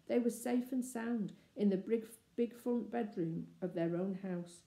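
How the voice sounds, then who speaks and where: A woman narrates a children's story expressively. Her voice is mid-pitched and very mellow, recorded with a clear roomy echo. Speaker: storybook reader. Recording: echoey, roomy sound.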